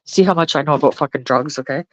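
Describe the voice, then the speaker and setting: A woman's voice: sits low, fast, muffled recording. A woman on a phone live stream, ranting and chatting with viewers in blunt, heavily profane casual talk, often laughing. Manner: blunt, swears constantly, laughs often, talks to chat and dog. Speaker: livestreamer. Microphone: phone held close.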